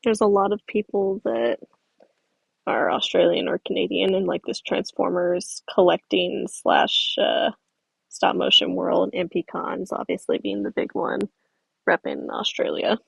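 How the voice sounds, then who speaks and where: A woman's voice: on the low side, measured pace, gravelly, slightly rough, dry recording. A young woman from the American South, chatting casually on a livestream while reading out viewer comments. She rambles in a friendly way, uses many fillers and laughs easily. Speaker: stop-motion creator streaming.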